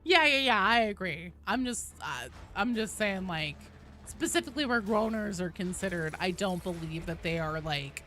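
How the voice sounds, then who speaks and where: A woman hosting a game livestream, chatting casually and answering viewers in an animated, playful, conversational way. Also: medium pitch, normal speed, soft background music.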